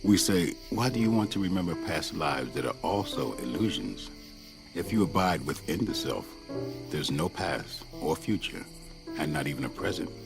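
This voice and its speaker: A serene old-soul monk speaks in a very deep, creaky voice with expressive swings. He is cryptic and gently amused. It is a radio play with music behind. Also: heavily acted. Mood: serene, musing. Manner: philosophical, measured, amused. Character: serene, cryptic, ageless male monk mentor.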